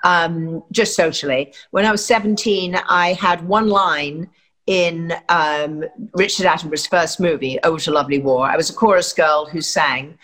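A woman's voice: low-pitched, normal speed, a bit bright. An older woman from England, an actress, giving chatty, rambling interview answers full of fillers and restarts.

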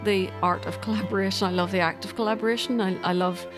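A woman's voice: medium pitch, fairly even intonation, quick pace, music in the background. A woman talking as an interview guest about her creative work, thoughtful and emphatic, feeling her way through sentences. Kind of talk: reflective interview conversation.

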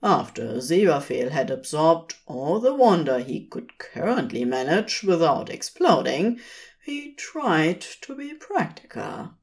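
A woman reads fiction in a slightly low voice with very lively intonation. Her delivery is slow and expressive, shaped as scripted story narration. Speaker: podfic reader.

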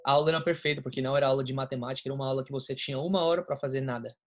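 A man's voice: mid-range voice, steady tone, racing, muffled recording. A young man from Colombia, a native Spanish speaker, casually chatting about his memories. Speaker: guest. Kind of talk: casual chat, recalling memories.

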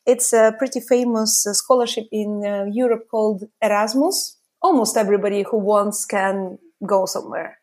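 A woman's voice: medium pitch, moderate pace, hardly any pauses. A woman with a Russian accent, a podcast guest telling stories in casual conversation, with many fillers as she talks. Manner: lively storytelling with frequent fillers.

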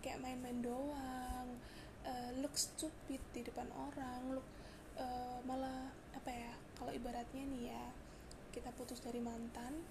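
A hoarse young woman hosting a casual solo podcast, chatty and confiding, with frequent fillers and restarts. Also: high voice, little pitch movement, a little slow, noisy background. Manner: chatty, confiding, mixes in English words, many fillers and restarts. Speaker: podcast host.

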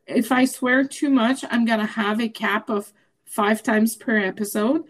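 A woman's voice: fairly even intonation, a little slow. Impassioned woman from Quebec. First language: French. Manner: emphatic, rises to high outraged questions. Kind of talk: impassioned political chat.

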